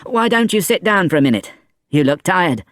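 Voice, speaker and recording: A man's voice: high-pitched, very animated, moderate pace, fairly bright tone, no echo, clean studio sound, pitched above the performer's own voice. A man reading aloud and voicing a big, friendly woman who runs a village inn, with his voice raised well above his own. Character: Madame Thénardier, big hearty woman innkeeper.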